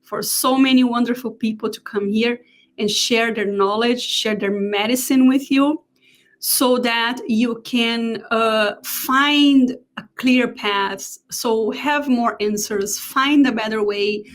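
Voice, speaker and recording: A woman in her forties from Brazil with a Brazilian Portuguese accent, giving an earnest live online talk as a holistic therapist and pausing often to check her notes. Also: on the high side, steady tone.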